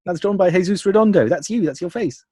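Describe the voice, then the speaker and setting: A man's voice: high voice, quick pace, dark tone, breathy edge, dry recording, studio quality. A man talking enthusiastically in an interview as an audio producer, wordy and often rephrasing as he goes. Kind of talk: conversational interview about creative work.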